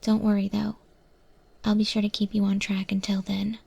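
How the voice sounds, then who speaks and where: A woman voicing a wry, easygoing eldritch monster chef in a breathy, whispered ASMR roleplay, speaking with hesitant, thoughtful pauses. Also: medium pitch, completely flat delivery, warm tone, lo-fi sound. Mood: businesslike, reassuring. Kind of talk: ASMR roleplay, softly spoken to the listener.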